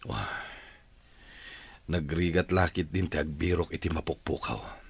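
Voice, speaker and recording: A man's voice: extremely low pitch, measured pace, gravelly, slightly rough, heavily acted. An actor playing an impatient businessman searching for his lost child in an Ilocano radio drama. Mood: worried, grim.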